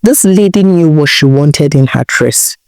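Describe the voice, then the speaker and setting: A woman with a Nigerian accent narrating a folktale in a deliberate way, repeating phrases for emphasis. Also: low voice, expressive, a little mellow, no echo. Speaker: storyteller, narrator.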